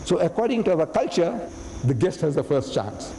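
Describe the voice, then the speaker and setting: A man putting on a calm, measured scholar. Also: on the high side, gravelly, in a large hall, slight background noise, poor audio. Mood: composed. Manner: slow, measured, deliberate.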